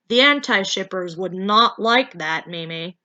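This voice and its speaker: Breezy, animated storybook narrator played by a woman. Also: medium pitch, measured pace, lo-fi sound, pitched below the performer's own voice. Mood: placating. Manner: breezy, placating. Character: omniscient storybook narrator chatting with the character.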